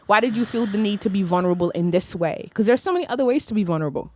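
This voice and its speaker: A woman's voice: mid-range voice, lively intonation, very fast, a little mellow, slight background noise. A young woman whose first language is Arabic, speaking in a vivid, poetic, reflective way in a casual studio conversation. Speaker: poet and podcast guest. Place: small studio room. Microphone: studio mic on stand, headphones.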